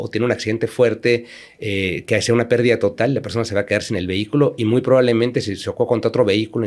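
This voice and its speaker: A man from Mexico, a company manager interviewed as a podcast guest, explaining at length with frequent fillers and repeated words. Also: lowish voice.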